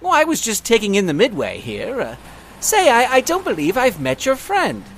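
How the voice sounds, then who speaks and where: Young male detective, extremely animated, earnest, courteous, family audio drama, faint background ambience. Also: extremely high pitch, moderate pace, a little hiss or hum, full character voice. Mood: confident, sly. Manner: earnest, quaintly formal.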